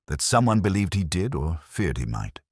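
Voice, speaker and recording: A man's voice: deep voice, sing-song, normal speed, darkish tone, slightly rough, no room sound, pitched above the performer's own voice, full character voice. A man voicing a mild, patient, shrewd police inspector in a story, with dry and formal understatement. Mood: thoughtful.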